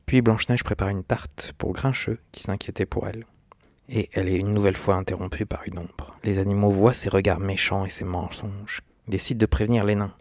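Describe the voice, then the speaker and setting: A man's voice: lowish voice, fairly even intonation, fairly quick, darkish tone, no echo. A man giving scripted, documentary-style narration as a video essayist.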